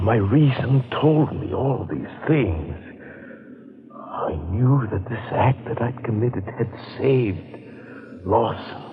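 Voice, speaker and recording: Low-voiced, haunted man. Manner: hesitant, halting, repeats himself. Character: anguished, guilt-ridden man destroying a sacred grove. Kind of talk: old-time radio drama acting and first-person narration. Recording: muffled, tinny vintage radio recording.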